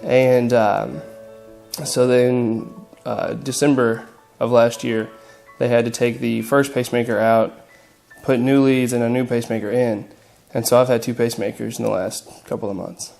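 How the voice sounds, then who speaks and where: A nineteen-year-old man telling his own story to camera in an even, matter-of-fact way, with frequent pauses and fillers. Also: mid-range voice, gravelly, soft background music. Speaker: interviewee.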